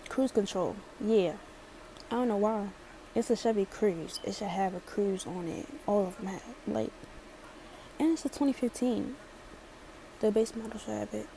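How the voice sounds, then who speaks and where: A woman's voice: medium pitch, normal speed, creaky, long pauses, dark tone, slight background noise, rough recording. A chatty young woman from Maryland with a light Baltimore accent, rambling sassily through a casual storytime. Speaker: vlogger.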